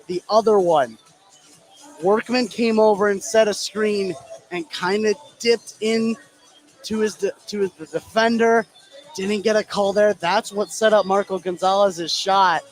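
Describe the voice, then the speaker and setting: A man's voice: high-pitched, steady tone, a little slow, faint music behind, low-quality recording. A man giving casual, hyped color commentary on a live basketball game in informal language. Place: indoor school field house.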